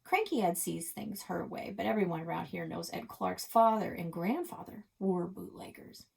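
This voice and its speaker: A woman's voice: lowish voice, expressive, normal speed, gravelly, husky, heavily acted. A woman voicing a casual, chatty local boy from a children's book, offhand and knowing. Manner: offhand, slangy, hesitant fillers.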